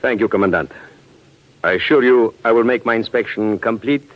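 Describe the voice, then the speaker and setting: An actor playing a composed, dignified Tsarist official, measured and formal, in 1940s radio drama. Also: male voice, lowish voice, lightly acted. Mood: wry, assured. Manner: measured, formal, coolly confident. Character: composed, dignified male imperial Russian inspector. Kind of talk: 1940s radio horror acting. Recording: dull, lo-fi 1940s radio recording.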